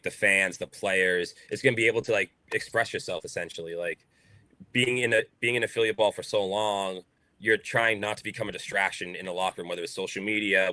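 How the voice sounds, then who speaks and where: Brash young Boston man, deep voice. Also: pushed and bright, dry recording. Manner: outspoken, filler-heavy casual talk. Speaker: baseball player guest. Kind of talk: podcast interview.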